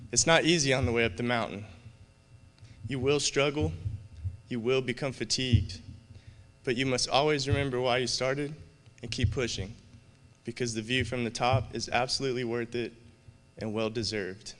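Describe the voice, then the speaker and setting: A man in his thirties, a student award finalist, reading a measured, heartfelt prepared speech at a podium on the stage of a large event hall. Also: medium pitch, steady tone, a bit bright. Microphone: podium microphone. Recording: echoey hall reverb.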